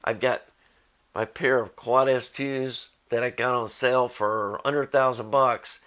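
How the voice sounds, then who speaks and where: An American man speaks as the host of an electronics vlog. His voice sits at a medium pitch, and he explains things informally, as in everyday conversation. He states his opinions plainly and keeps the delivery casual. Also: fairly even intonation, unhurried, fairly bright tone. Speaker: host of an audio electronics channel.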